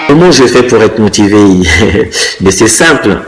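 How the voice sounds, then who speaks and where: A man in his fifties from Congo, answering interview questions thoughtfully and pausing often to find his words. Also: medium pitch, lively intonation, soft background music. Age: 50s. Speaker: interviewee, dancer and choreographer.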